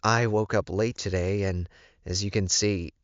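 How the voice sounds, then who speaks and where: A man's voice: on the low side, fairly even intonation, unhurried, no echo, highs cut off, lightly acted. A man voicing a modest, polite young woman, an earnest werewolf heroine in an audiobook. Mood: polite, bright.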